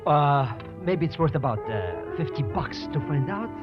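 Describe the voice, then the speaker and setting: An actor playing a wheedling, shifty little schemer in 1940s radio style. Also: male voice, fairly high pitch, expressive, a little slow. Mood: sly, wheedling. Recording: dull old radio recording, music behind.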